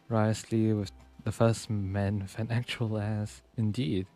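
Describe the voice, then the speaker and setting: Young guy streamer chatting over a game, kinda low voice, laid-back, soft game music under. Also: fairly even intonation, slow pace. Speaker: game streamer, virtual YouTuber. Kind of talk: casual live gameplay commentary.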